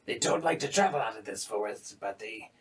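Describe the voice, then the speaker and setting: A man voicing a small, anxious male kobold who gives halting, urgent warnings in a tabletop game. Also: on the low side, lively intonation, voice pushed down.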